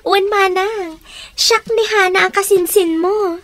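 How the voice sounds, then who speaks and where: A woman's voice: very high-pitched, steady tone, a little slow, fairly bright tone, dry recording. A woman with an Ilocano accent playing a dutiful, studious young daughter in a radio drama, speaking respectfully and earnestly. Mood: concerned.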